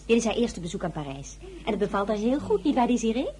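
Casting: polite, fussy hostess and elder sister, highish voice, very lively intonation, period radio drama. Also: female voice, quick pace. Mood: polite, anxious.

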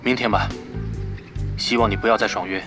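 A low, stern, punctilious rival. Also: male voice, steady tone, a little fast, creaky. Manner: curt, precise. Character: rigidly punctual, stern male rival team player. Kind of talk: radio drama dialogue. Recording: music bed behind voice.

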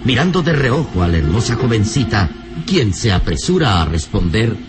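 A man's voice: fairly low pitch, very animated, moderate pace. A man delivering florid, dramatic scripted narration as the narrator of an old radio serial. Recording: old radio recording over music. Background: music.

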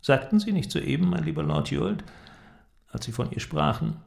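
An actor playing a sceptical, resolute inventor with a measured, ironic and formal way of talking in an audio drama. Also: male voice, fairly high pitch, lively intonation, vocal fry. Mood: urgent, resolute. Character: worldly, sceptical male inventor, resolute and probing. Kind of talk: German audio drama acting. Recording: music under the voice.

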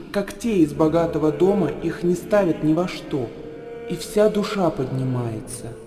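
An actor playing an anguished, pitying storyteller, reciting in a measured, declamatory radio drama style. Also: male voice, high-pitched, dark tone. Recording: echoing hall with faint music.

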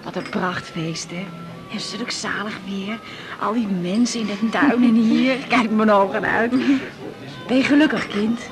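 A woman's voice: mid-range voice, a little slow, loud music behind the voice, natural voice. An actress playing a fond, slightly fussy middle-aged mother of the bride in a radio drama, with a Netherlands Dutch accent. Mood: fond, delighted.

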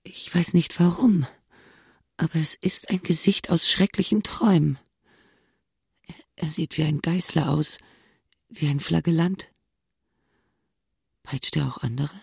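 A woman's voice: low-pitched, a little slow, creaky, pauses often, darkish tone, breathy edge, dry recording, studio quality, full character voice. An actress playing a cool, brooding, perceptive woman who muses inwardly in a radio play, intimate and grave. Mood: uneasy fascination. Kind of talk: radio play interior monologue.

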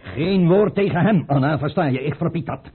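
An actor playing a tormented, paranoid Russian tsar in a theatrical radio play, agitated, imperious and repeating himself. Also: male voice, on the high side, normal speed, husky. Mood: stubborn. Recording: muffled, narrowband old radio play recording.

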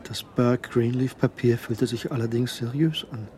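Mellow man narrating a suspense radio play. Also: medium pitch, steady tone, normal speed, slight background noise.

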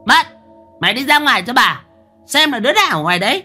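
A man's voice: high voice, very animated, fairly quick, fairly bright tone, breathy edge, soft background music, pitched above the performer's own voice, heavily acted. A man voicing a fierce, cruel older woman who barks threats at her household. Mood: furious. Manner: scolding, barking threats. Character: fierce shrill older woman, bullying mistress.

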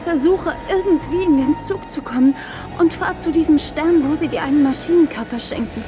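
A woman's voice: high voice, a little fast, over music, close to an everyday voice. A woman acting as a loving, protective mother in a German radio play. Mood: dying, urgent.

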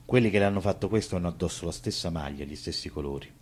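Man acting guarded, very deep-voiced stage character. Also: rapid-fire, a little mellow, slight background noise, natural voice. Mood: guarded, resigned.

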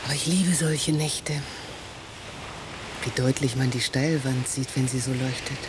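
A woman's voice: extremely low pitch, steady tone, a little slow. A woman acting a restless, questioning holidaymaker in a naturalistic radio drama, speaking in brief, simple phrases. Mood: quiet, wistful. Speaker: actor. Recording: hissy old cassette of radio play.

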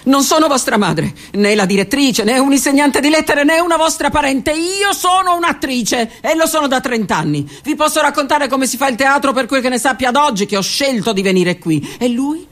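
A middle-aged woman, a stage actress, performing a dramatic monologue that swings from hushed whispers to raised declaiming. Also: high-pitched, animated, fairly quick, few pauses. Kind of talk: theatrical monologue. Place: radio studio.

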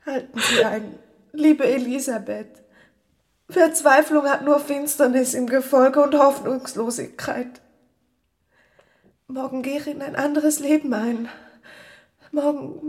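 A woman's voice: high-pitched, darkish tone. An actress in a German radio drama playing a pious, frightened young servant woman condemned though innocent, speaking with pleading emotion. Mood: tearful, tender.

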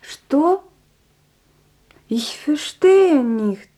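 A dreamy young Russian woman in a radio drama. Her voice is high and mellow, and she speaks slowly in simple, halting phrases with a Russian accent. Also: expressive, exaggerated voice. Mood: puzzled.

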